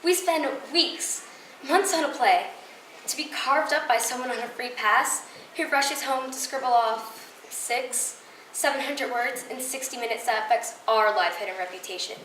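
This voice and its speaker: A woman's voice: a little high, normal speed, fairly bright tone, close to an everyday voice. A woman playing a sarcastic, indignant actress venting her wounded pride in a stage monologue. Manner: sarcastic, aggrieved. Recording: roomy distant microphone with clear noise.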